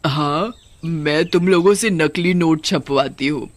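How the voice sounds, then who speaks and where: Wicked cartoon witch, played by a woman: commanding, gloating, slow menacing delivery. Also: low-pitched, no room sound.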